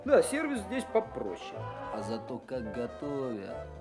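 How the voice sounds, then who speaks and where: A man's voice: mid-range voice, huge pitch swings, measured pace. An actor playing a chatty, easygoing, streetwise working man in a Russian radio drama, talking in breezy colloquial bursts. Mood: relaxed, boastful. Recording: music playing behind the voice.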